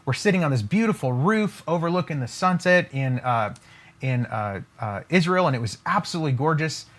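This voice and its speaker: A man's voice: a little high, expressive, a little fast, fairly bright tone. A man giving a motivational business training at a whiteboard, emphatic and talking straight to his viewers, often checking in with "right?" and "okay?". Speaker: business coach. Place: room with a whiteboard.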